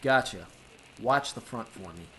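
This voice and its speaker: An actor playing a cool-headed speakeasy owner and secret vigilante, terse and dry, in an old-time radio drama. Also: male voice, on the low side, expressive, unhurried, fairly bright tone, a little hiss or hum, rough recording. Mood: casual, guarded.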